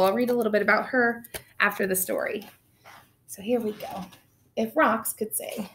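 A woman's voice: on the high side, slight background noise. A woman in her thirties teaching an online class, counting beats and reading a picture book aloud in an upbeat way, heard through a webcam in a small room. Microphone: webcam on video call.

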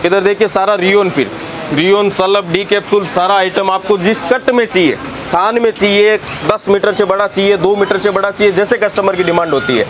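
A young man who owns a fabric shop gives a fast sales tour, listing fabrics and prices in a brisk patter, recorded on a lapel mic in a busy warehouse. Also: high-pitched, fairly even intonation. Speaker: shop owner. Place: crowded fabric warehouse, indoors. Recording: crowd noise in a busy warehouse.

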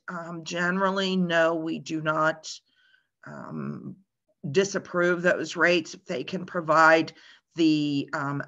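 A middle-aged woman answering a question on a video call from a room at home, measured and informative with hesitant ums. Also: on the low side, a bit bright. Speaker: insurance regulator answering a question. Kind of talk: webinar Q&A answer. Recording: dull video call audio.

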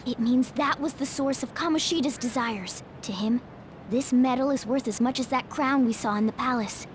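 A woman's voice: high voice, normal speed, slight background noise, natural voice. A woman voicing a small, bossy, cheerful cat-like mascot creature in a video game, over game music. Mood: explaining. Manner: bossy, chirpy, animated. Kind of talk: video game cutscene voice acting. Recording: game audio with loud music.

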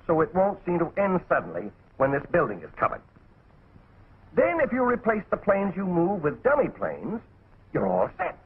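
A man voicing a brisk, bossy, wisecracking cartoon animal who gives folksy instruction in a 1940s training film. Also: high-pitched, breathy edge, exaggerated voice. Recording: muffled, tinny 1940s film soundtrack.

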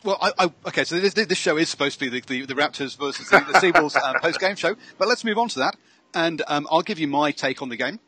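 Hesitant, bright-voiced male host. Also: a little high, animated, fast talker, husky, no echo. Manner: hesitant, many ums and self-corrections. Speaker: show host. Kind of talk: casual panel chat. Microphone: headset on a video call.

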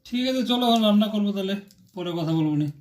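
A man's voice: sits high, animated, fast, a little mellow, a little hiss or hum. A young man, chatting offhandedly as a grown son on a family phone call. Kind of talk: casual family phone chat.

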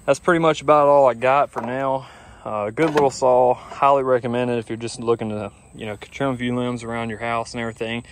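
A man's voice: medium pitch, little pitch movement, fairly quick, a little hiss or hum. A young man, talking casually to a phone camera outdoors in a grassy yard, rambling and easygoing with lots of fillers. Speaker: lawn care business owner. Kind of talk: casual vlog talk to camera. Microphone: phone camera at arm's length.

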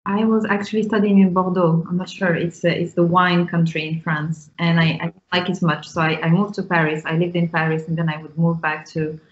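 A woman's voice: fairly low pitch, little pitch movement, brisk, hardly any pauses. A woman in her thirties from Morocco, a guest on a video call interview, speaking thoughtfully with many ums and restarts. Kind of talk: casual interview talk. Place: small room indoors. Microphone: video call with earphones. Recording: muffled video call audio.

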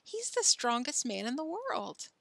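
A woman voicing excitable young children in a storybook, eager and exclaiming. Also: sits high, unhurried, creaky, dry recording, pitched above the performer's own voice, exaggerated voice. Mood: worried. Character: excited children cheering and warning their friend.

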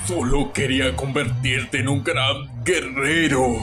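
Man voicing a slow, echoing, vengeful war god. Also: medium pitch, fairly even intonation, close to an everyday voice. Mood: menacing, taunting. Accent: Latin American. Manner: slow, taunting, grandiose. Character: menacing, vengeful adult male god of war. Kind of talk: video game fan dub acting. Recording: echoey voice under loud music.

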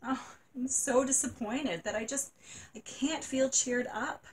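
A woman's voice: high voice, a little slow, slight background noise, pitched above the performer's own voice. A woman voicing a tearful, gushing nine-year-old girl in a storybook reading. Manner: sobbing, breathless and gushing.

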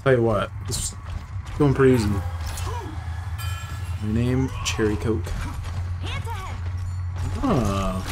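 Brief: young American male streamer, mid-pitch, casual swearing banter over game sounds and music. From United States. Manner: loose, joking, swears, chats between fights. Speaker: streamer playing a shooter game. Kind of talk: casual gameplay commentary talking with chat. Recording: game audio and music behind.

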